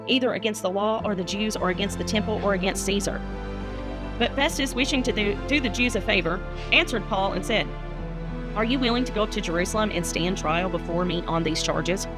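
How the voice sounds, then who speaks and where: A woman's voice: medium pitch, brisk, over music. A woman from the United States, a minister, who reads scripture and chats. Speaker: guest minister and Bible reader. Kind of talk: Bible reading and casual chat.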